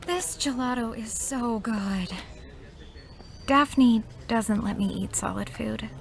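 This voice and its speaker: An actress playing a frail, sheltered teenage girl in an audio drama, soft and guileless. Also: on the high side, slow pace, creaky. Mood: wistful.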